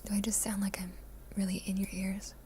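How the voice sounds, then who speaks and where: A woman livestreaming ASMR, chatting playfully to viewers in a breathy whisper, close to the mic. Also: mid-range voice, flat delivery, a little fast, darkish tone, slight background noise.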